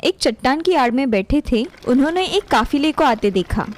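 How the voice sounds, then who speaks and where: A woman's voice: high-pitched, animated, normal speed. A woman narrating a scripted moral story as a storyteller. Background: music behind the voice.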